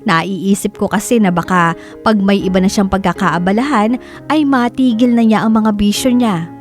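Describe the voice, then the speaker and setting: Casting: actress as young Filipino daughter narrator, mid-pitched, sorrowful, confiding drama narration over soft music. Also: female voice, moderate pace, natural voice. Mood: sad, reflective. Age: young adult. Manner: confiding, reflective. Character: sorrowful daughter narrating her troubled family life. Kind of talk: first-person scripted drama narration.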